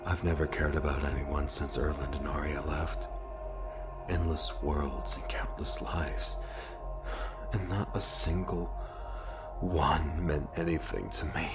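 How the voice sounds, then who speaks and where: A man voicing a weary, tender adventurer in a hushed, halting audiobook performance. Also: very deep voice, steady tone, voice pushed down, exaggerated voice. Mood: grave, confessional. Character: weary, guilt-laden, tender male adventurer. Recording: music under the voice.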